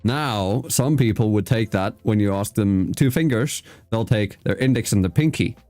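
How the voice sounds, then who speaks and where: A man's voice: fairly low pitch, normal speed, a little mellow, dry recording, soft background music. A man in his twenties from South Africa, an Afrikaans speaker, hosting a casual interview and telling anecdotes with casual swearing. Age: 20s. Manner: swears casually, tells anecdotes with playful sung asides. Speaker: host.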